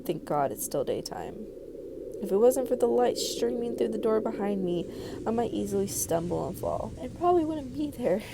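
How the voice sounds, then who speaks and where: A woman's voice: on the high side, sing-song, moderate pace, vocal fry, over a noisy crowd. A woman reading a visual novel aloud as a game video creator, narrating dramatically and expressively with casual asides.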